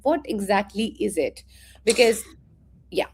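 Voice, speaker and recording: A woman's voice: medium pitch, expressive, unhurried, darkish tone, slightly rough. A woman hosting a live interview stream, introducing her guest enthusiastically over a video call.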